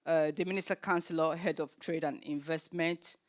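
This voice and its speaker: A woman's voice: low voice, fairly even intonation. A Nigerian woman opens a forum panel from the chair. She speaks earnestly and stops often on filler sounds while she gathers her thoughts. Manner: earnest, with many filler pauses. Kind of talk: opening remarks at a forum panel.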